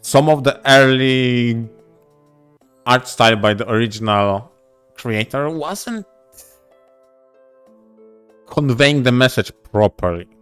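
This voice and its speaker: A man co-hosting a podcast in casual discussion, speaking in a deliberate, halting way. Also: medium pitch, fairly bright tone, clear, resonant tone, faint music behind. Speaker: podcast co-host. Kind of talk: casual discussion of visual novels.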